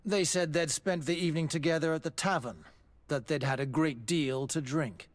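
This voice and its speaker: An actor playing an evasive, formal army doctor in a video game, hesitant and deferential. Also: male voice, fairly high pitch, normal speed, lightly acted. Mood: formal, defensive. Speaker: military doctor. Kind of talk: dramatic video game acting.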